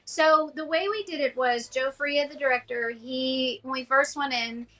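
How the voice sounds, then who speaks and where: A woman's voice: high voice, normal speed, bright, forceful tone. A woman voice actor chatting about her work in an interview, explaining with easy, chatty detail. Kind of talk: interview recollection.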